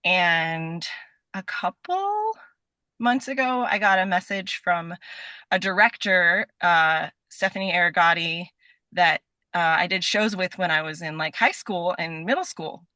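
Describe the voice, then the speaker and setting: A young American woman with a bright, forward voice at an ordinary pitch, recorded dry and close. She talks casually and effusively, circling through her sentences and peppering them with "like" as she goes. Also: animated, unhurried, no room sound. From Nevada, United States. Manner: effusive, rambling, peppers talk with "like". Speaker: podcast guest, stage and voice actor. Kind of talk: casual chat.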